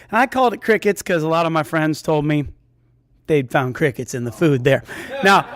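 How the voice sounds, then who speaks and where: A man's voice: high voice, fairly bright tone, dry recording, studio quality. A man telling a comic true story in a conversational, self-interrupting way, as a storyteller on stage.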